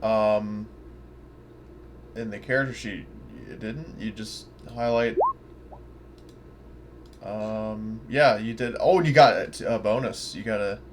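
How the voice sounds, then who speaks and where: A man's voice: on the low side, slow, pauses often. A man chatting casually as a player in an online tabletop game. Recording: faint background noise on online call.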